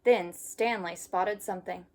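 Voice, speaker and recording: A woman reading a children's story aloud like a teacher, with expressive storytelling. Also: medium pitch, measured pace.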